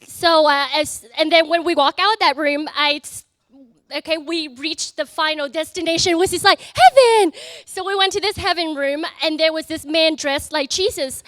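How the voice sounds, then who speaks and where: Young vietnamese woman, vietnamese accent, high bright voice, earnest and halting, lots of ums, telling her story to a crowd. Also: no echo. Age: young adult. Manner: earnest, halting, many fillers and restarts. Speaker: student giving testimony. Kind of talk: personal testimony speech. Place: event in front of an audience.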